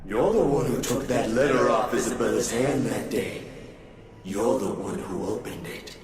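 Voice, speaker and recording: A man's voice: mid-range voice, moderate pace, a loud crowd, close to an everyday voice. A man voicing a cruel, mocking ghostly tormentor for a horror visual novel, with contemptuous, jeering delivery. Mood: accusing. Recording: heavy echo, distant, music underneath.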